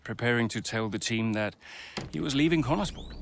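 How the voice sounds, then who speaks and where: A man playing an earnest racing team principal and mentor, speaking in a measured, thoughtful, hesitant way. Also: medium pitch, vocal fry, a little hiss or hum, natural voice. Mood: reflective. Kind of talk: documentary interview and speech.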